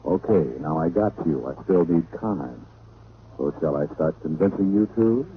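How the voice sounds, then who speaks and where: American hardboiled detective, low voice, glib and charming, old tinny radio show sound. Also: male voice, lively intonation, moderate pace. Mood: flirtatious wheedling. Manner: glib, breezy wisecracks. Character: wisecracking, charming hardboiled male private detective. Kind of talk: 1940s radio detective acting with narration. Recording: thin, noisy vintage radio recording.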